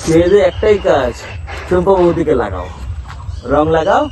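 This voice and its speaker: A man on a prank call, slyly suggestive and given to chuckling as he teases the other person. His voice sits a little high for a man. Steady noise runs clearly behind him. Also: normal speed. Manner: playful, suggestive, chuckling through his lines. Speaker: prank caller. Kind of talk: teasing prank phone call full of double meanings.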